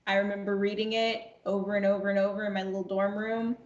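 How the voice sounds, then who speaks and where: A woman's voice: mid-range voice, quick pace. Young woman in a dull, roomy recording. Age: 20s. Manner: animated retelling with quoted dialogue and repeated phrases. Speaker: podcast guest, amateur voice actress. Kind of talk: casual anecdotal storytelling.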